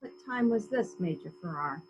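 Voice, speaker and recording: A woman playing a brisk, authoritative police inspector, questioning in a measured, probing way in a murder mystery reading. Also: on the low side, lively intonation, slightly rough, poor audio.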